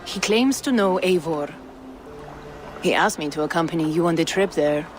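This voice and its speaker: A woman's voice: low-pitched, moderate pace, lightly acted. An actress playing a shrewd, courteous trader woman from the east in a video game, with formal, wry, playful phrasing. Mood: courteous, businesslike. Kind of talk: video game cutscene acting. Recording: music under the voice.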